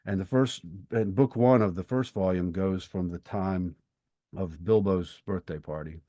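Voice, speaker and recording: A man in his fifties co-hosting a podcast, talking reflectively and thoughtfully with pauses between phrases. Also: low voice, mellow, dry recording. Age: 50s. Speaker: podcast co-host. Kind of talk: reflective conversational podcast talk.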